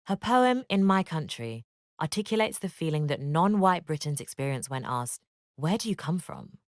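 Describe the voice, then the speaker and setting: A woman giving scripted educational narration in a measured, expressive read-aloud manner. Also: sits low, no echo, clean studio sound.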